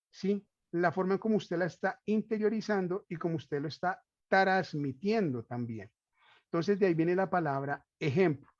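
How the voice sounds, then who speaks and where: A man speaks in a rather high voice, teaching reflectively as a panelist. He repeats key phrases and poses rhetorical questions. The recording is roomy and somewhat dull. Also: darkish tone. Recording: roomy echo, dull lo-fi sound.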